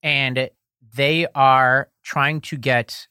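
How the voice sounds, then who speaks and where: A man co-hosting a talk podcast in easy, animated chat, often breaking into laughter. His voice sits in a middle pitch and sounds dry and close, with no room around it. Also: extremely slow, clear, resonant tone, dry recording. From lives in New York. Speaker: podcast co-host. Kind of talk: casual chat.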